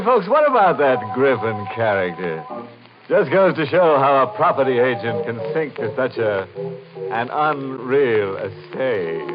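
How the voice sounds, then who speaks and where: Macabre punny horror show host, slow sardonic drawl, muffled old radio sound. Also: male voice, mid-range voice. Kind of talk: 1950 radio horror hosting. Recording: muffled old radio, organ music behind.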